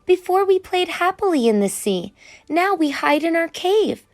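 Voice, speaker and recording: Woman voicing an earnest storybook fish, high-pitched. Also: very animated, moderate pace, no echo. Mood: earnest resolve. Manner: earnest, pleading. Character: earnest, peacemaking young male fish.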